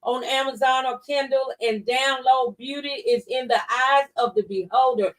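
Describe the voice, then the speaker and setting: A woman's voice: high voice, steady tone, moderate pace, a bit bright, rough recording. A woman hosting a casual talk show, encouraging her guest with affirmations and repeating things back, recorded a little away from the mic.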